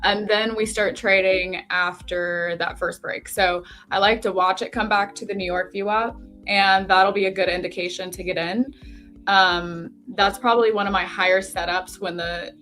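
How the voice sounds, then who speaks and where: A woman's voice: mid-range voice, fairly even intonation, moderate pace, sharp tone, faint music behind. A young woman hosting a live trading stream, talking casually and rambling with lots of fillers while she works on screen. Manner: chatty, rambling with many fillers. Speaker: trading livestream host.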